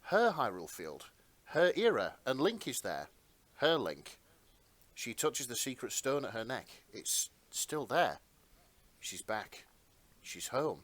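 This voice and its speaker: Lively-pitched man narrating a story. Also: slow pace, no room sound. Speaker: narrator in an amateur fan fiction reading. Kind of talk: dramatic narration and casual banter.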